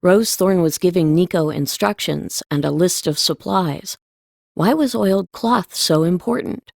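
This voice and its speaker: A woman's voice: fairly low pitch, a little slow, creaky, no echo, clean studio sound. A woman reading scripted storybook narration as an audiobook narrator.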